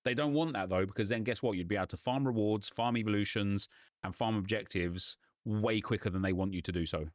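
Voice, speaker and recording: Slightly hoarse man, dry close mic. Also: fairly low pitch, no room sound. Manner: chatty, ranting, quick asides. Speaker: gaming YouTuber and streamer. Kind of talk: casual gaming commentary.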